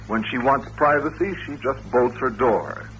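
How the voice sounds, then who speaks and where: An actor playing a courteous, patient doctor who runs an institution, explaining things politely and with measure in an old-time radio drama. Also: male voice, mid-range voice, a little slow, a bit bright, lightly acted. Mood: earnest, explanatory. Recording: dull old radio recording, faint hiss.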